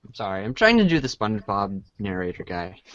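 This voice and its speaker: A man's voice: fairly low pitch, huge pitch swings, fairly quick, fairly bright tone, dry recording, highs cut off. A man in casual banter as one of a reading cast. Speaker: cast member.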